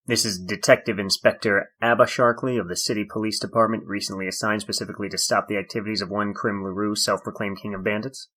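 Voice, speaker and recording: An actor playing a weary, dry-witted male detective in an audio drama, speaking in a stern, deadpan way. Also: lowish voice, fast, hardly any pauses.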